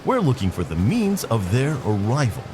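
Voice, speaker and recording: A man's voice: mid-range voice, huge pitch swings, moderate pace. A man playing an eccentric, chatty FBI agent in an audio drama, with formal, articulate and quirky speech. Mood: brisk, quirky. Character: eccentric, chatty male FBI agent dictating to tape recorder.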